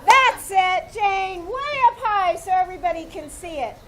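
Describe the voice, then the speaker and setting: A woman's voice: very high-pitched, animated, moderate pace, very noisy. A woman playing a stubborn, blunt housemaid in a 1940s radio comedy, bossy and obstinate, performed live on stage.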